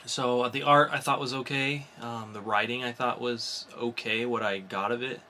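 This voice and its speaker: A man with a bright, mid-pitched voice speaks as a podcast co-host in loose, casual review chat. He hedges and rewords his thoughts as he goes, over faint background noise. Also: fairly even intonation, normal speed. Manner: hesitant, hedging, rephrasing as he goes.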